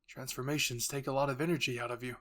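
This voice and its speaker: A man's voice: mid-range voice, fairly even intonation, fast, sharp tone, close to an everyday voice. A man performs an intense young male soldier in a roleplay story. He speaks with urgency and raw emotion, grieving yet fiercely protective. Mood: saddened.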